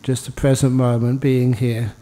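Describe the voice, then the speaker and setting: A man leading a guided meditation as a monk and teacher, speaking informally in short, unhurried phrases with pauses and gentle humour. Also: mid-range voice, little pitch movement, big-room sound. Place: indoor meditation hall.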